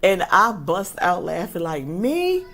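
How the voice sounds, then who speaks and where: Husky, low-pitched woman, 40s, casual livestream host, chatty and friendly with viewers. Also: sing-song, takes it slowly. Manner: chatty and warm toward her viewers, frequent ums.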